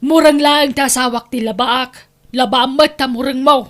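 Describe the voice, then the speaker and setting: A woman's voice: sits high, steady tone, moderate pace, music in the background, natural voice. A woman playing a long-suffering, betrayed wife and mother in an Ilocano radio drama, emotional and pleading. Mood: indignant.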